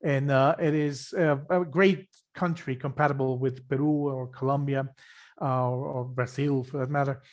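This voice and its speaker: A man from Ecuador presenting his country on a video call, halting with many uh fillers. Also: medium pitch, steady tone, dull audio. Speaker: tour company representative. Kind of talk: webinar presentation.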